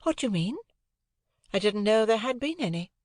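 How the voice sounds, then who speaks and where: A proud, aloof young woman who answers with cool, lofty detachment, her voice pitched well up. A man reads her part in an audiobook. The recording is dry and close. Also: sing-song, normal speed, no room sound.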